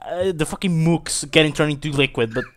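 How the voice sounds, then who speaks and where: A man's voice: on the high side, brisk. A man chatting casually with friends in a blunt, sweary way. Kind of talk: casual sweary group chat. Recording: loud background noise, clicks.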